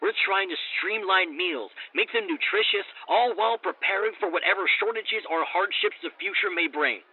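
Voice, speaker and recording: An actor playing a geeky, pedantic, excitable sci-fi restaurant owner as a radio guest, over a thin phone line. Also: male voice, sits high, moderate pace, hardly any pauses. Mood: proud, playful. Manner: nerdy, pedantic, enthusiastic.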